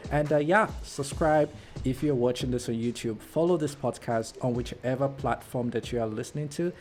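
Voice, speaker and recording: A man's voice: medium pitch, normal speed, darkish tone, a little hiss or hum. A man hosting a podcast in casual interview talk, encouraging and effusive as he thanks his guest and addresses listeners. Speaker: podcast host.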